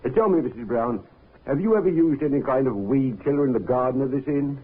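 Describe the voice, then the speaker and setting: An actor playing a polite, methodical police inspector asking measured questions in a 1950s radio drama. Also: male voice, mid-range voice, expressive, breathy edge. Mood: courteous, probing. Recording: muffled 1950s radio recording.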